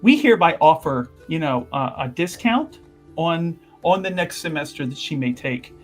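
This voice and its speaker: A man acts out a flustered university official in a tabletop game, with a fairly high voice. He is deferential and eager to placate, stumbling through formal apologies and courtesies. Faint music plays behind him. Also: expressive. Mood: apologetic, formal. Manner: halting, deferential, over-apologetic, formal wording. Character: flustered, obsequious male university representative smoothing over trouble. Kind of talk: improvised tabletop roleplay.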